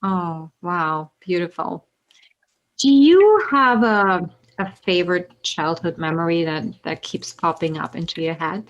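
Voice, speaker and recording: A woman hosts an interview with a rather low voice. She asks light questions and stops and restarts partway through her sentences. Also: expressive, unhurried, dull audio. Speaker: host.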